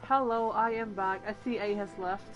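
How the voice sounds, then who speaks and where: A woman's voice: medium pitch, fairly even intonation, normal speed, fairly bright tone, lo-fi sound, faint music behind. A woman casually commenting as she plays a game, with a dry, sarcastic manner. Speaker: gamer playing through a story quest.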